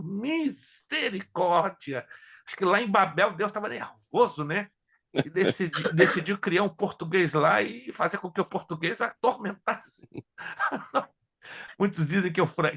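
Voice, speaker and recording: Gravelly animated male Bible teacher. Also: high-pitched. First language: Hebrew and Spanish. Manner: animated, jokes with students, asks if they follow. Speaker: theology teacher and pastor. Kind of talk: classroom Bible lecture.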